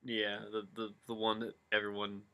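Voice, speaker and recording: A man's voice: lowish voice, completely flat delivery, normal speed, pushed and bright. A man co-hosting a reading, chatting casually and throwing in offhand reactions and commentary. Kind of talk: casual riffing chat.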